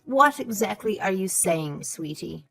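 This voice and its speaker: A woman's voice: medium pitch, lively intonation, measured pace, gravelly, soft background music. A woman playing a haughty, suspicious elderly mother-in-law, scornful and condescending, in a scripted audio story. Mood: accusing. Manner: condescending, sharp, calls others sweetheart and darling.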